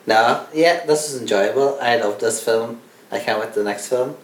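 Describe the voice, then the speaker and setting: A man's voice: mid-range voice, normal speed. A young Irish man chatting casually about films on a podcast, rambling with lots of fillers and restarts. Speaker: podcast co-host. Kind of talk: casual film chat. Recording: echoey room.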